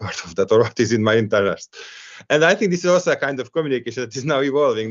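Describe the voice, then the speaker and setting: A man's voice: medium pitch, highs cut off. A man with Hungarian as his first language, speaking as a panelist at a round table, hesitant, with many restarts and fillers.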